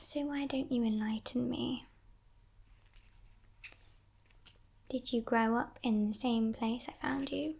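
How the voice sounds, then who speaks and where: A woman voicing a playful, menacing female demon in a breathy, teasing whisper for an ASMR roleplay. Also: on the high side, fairly even intonation, a little hiss or hum. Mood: teasing, curious. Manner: teasing, condescending, softly sinister, unhurried.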